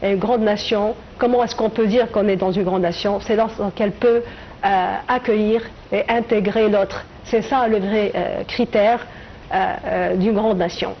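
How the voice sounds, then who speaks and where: A middle-aged woman from Tunisia speaks as an interview guest, thinking aloud and pausing often as she searches for her words. The broadcast sound is slightly muffled, with a faint hiss underneath. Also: medium pitch, steady tone. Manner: hesitant, reflective, many filler pauses. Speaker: writer and translator guest. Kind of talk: TV interview answers.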